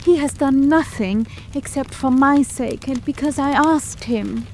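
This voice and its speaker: A woman's voice: sits high, a little slow, a little mellow, slight background noise, voice pushed up. A woman voicing a distraught, imploring middle-aged housekeeper confessing to her employer. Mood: anguished. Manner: anguished, imploring.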